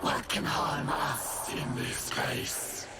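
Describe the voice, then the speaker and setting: A woman's voice: low-pitched, lively intonation, heavy background noise, exaggerated voice. A woman voicing a menacing, hungry female demon hound who leads a pack in a video game, with a growling voice and layered monster effects. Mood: proud, brooding. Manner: slow, menacing, speaks as "we". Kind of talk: video game character voice lines. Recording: loud music behind game voice clips.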